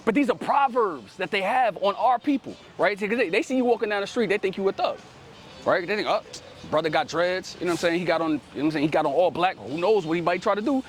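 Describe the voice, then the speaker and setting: A man's voice: sits high, animated, fast, faint music behind. A man preaching outdoors in street teaching style, ending nearly every sentence with "right?" and telling a helper to read. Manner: commanding, calls on the reader to read. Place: outdoors in a city.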